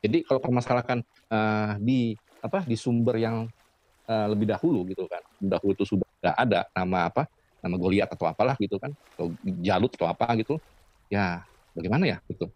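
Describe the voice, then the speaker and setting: A man's voice: fairly low pitch, a little fast, no echo, highs cut off. A man in an online debate answering a question hesitantly, with many fillers.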